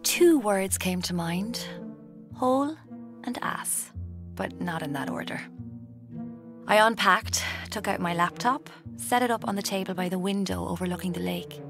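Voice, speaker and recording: A young woman with an Irish accent acting a morbid, cheeky aspiring writer in a radio drama, narrating in a hushed, deliberate way. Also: medium pitch, animated, music in the background, close to an everyday voice. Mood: dark, intimate. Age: young adult.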